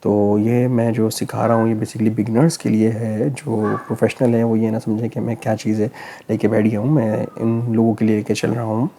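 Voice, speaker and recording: A man with a sore, hoarse throat, walking through a computer tutorial step by step in a relaxed, explaining way, often pausing to check in. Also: fairly low pitch. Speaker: tech tutorial host. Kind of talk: screen-recorded tutorial narration.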